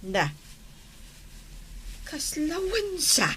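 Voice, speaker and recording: An actress with an Ilocano Filipino accent playing a feisty, jealous village wife in a radio comedy, emphatic and theatrical. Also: female voice, sits high, wildly swooping pitch, drawn-out words, a bit bright, full character voice. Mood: teasing, riled. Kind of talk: broadcast radio comedy drama acting.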